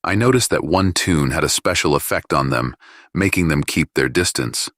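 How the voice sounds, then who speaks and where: Awkward, sheepish male pilot, voiced by a man reading, low bass, dry audiobook dialogue. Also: normal speed, a bit bright, no echo. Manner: hesitant, embarrassed.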